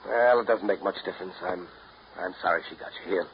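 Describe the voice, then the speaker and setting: Fast-talking, wry jilted suitor, old radio. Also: male voice, deep voice. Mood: wounded, wry. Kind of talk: 1930s American radio drama acting. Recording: muffled, noisy 1930s radio broadcast recording.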